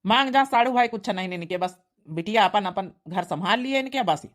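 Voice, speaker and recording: A woman's voice: medium pitch, animated, fairly quick, close to an everyday voice. A woman as a practical Awadhi village uncle. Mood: friendly, reassuring. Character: practical, reassuring village uncle acting as matchmaker. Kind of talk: cartoon comedy dialect acting.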